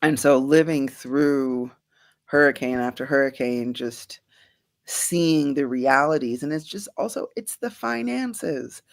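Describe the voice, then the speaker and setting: A woman, a guest scientist, answering interview questions earnestly and thoughtfully, with frequent soft laughs. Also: a bass voice, slow, gravelly. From Bahamas.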